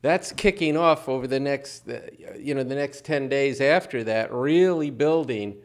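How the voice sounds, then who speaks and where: An American man gives political commentary as a co-host in a medium-pitched voice. He is halting but emphatic, restating phrases to drive each point home. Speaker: show co-host.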